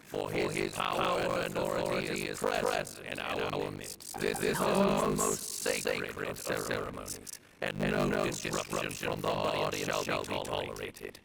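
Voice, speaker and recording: An actor playing a solemn, commanding officiant of an ancient rite in a video game, speaking in a grave, formal, stately manner. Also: male voice, rumbling low, creaky, full character voice, low-quality recording. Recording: game audio with loud music behind.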